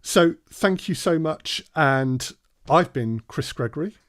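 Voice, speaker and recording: A man presenting an informal workshop as an audio drama producer, explaining things in a halting way with frequent pauses. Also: on the high side, lively intonation, a bit bright, no echo, clean studio sound.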